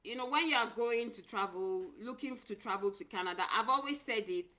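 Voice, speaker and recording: Lady on a live stream talking immigration help, bright clear voice, earnest, restarts her words a lot. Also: a little high, fairly quick. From Nigeria. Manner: earnest, repeats and restarts words, reads viewer comments aloud. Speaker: immigration consultant. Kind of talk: live-stream talk answering viewers.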